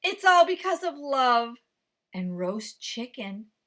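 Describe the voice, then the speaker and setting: A sly little male guinea pig from a storybook, talking fast and high, as a woman reads him aloud. His squeaky voice swings widely as he begs and schemes. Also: very animated, fairly bright tone, heavily acted. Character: clever small male guinea pig trickster.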